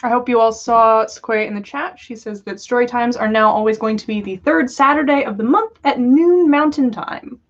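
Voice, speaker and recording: A woman's voice: a little high, moderate pace, hardly any pauses, dull audio. A woman hosting a livestream, reading stories and chatting casually in a playful, giggly manner. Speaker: podcast host reading listener stories. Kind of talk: livestream storytelling and casual chat.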